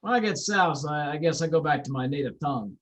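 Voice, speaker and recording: A brash, chatty guy with a Philadelphia accent, as voiced by a performer. His pitch bounces around as he talks in a loose, street-tough way. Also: expressive, quick pace, natural voice. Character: brash middle-aged man from Philly.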